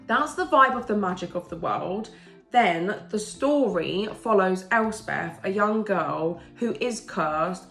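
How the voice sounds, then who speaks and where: A woman's voice: medium pitch, fairly bright tone, roomy sound. A woman with a blocked nose from a cold chats eagerly as a book vlogger, gushing about her recent reads. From England. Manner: gushing, enthusiastic, chatty. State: congested from a cold. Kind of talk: casual book review chat.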